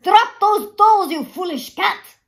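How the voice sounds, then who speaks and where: A woman's voice: extremely high pitch, expressive, slow, pitched above the performer's own voice, exaggerated voice. A woman voicing an exasperated, dramatic old grandfather in a storybook, with theatrical exclamations and sighs. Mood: angry.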